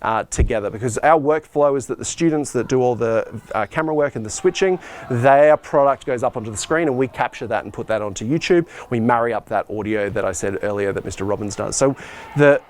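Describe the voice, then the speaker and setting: A man's voice: medium pitch, brisk. A man with a mid-range voice gives an informal behind-the-scenes tour. He explains equipment and workflow in a casual, practical, unscripted way, talking as he moves through the space. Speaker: school arts and IT department head giving a behind-the-scenes tour. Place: on the move through a school tech room and performance venue.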